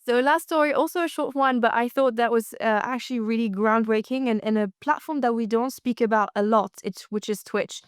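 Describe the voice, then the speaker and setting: A woman's voice: fairly high pitch, a little fast, hardly any pauses, smooth, no room sound, studio quality. A woman co-hosting a casual podcast, chatting loosely with lots of fillers and restarts. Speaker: co-host.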